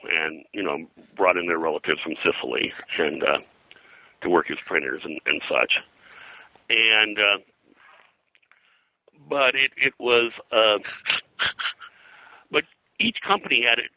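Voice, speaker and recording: An older man talking in a rambling interview style, halting and digressive as a guest historian. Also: fairly low pitch, lively intonation, bright, forceful tone, no echo, low-quality recording. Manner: halting, digressive, frequent "you know". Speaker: guest, pop culture historian.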